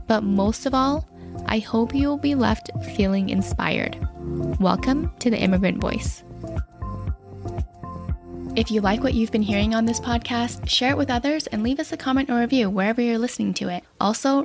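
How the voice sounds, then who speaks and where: A woman who hosts a podcast and works as an immigration attorney, opening the show and asking her guest questions. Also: medium pitch. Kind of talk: podcast interview.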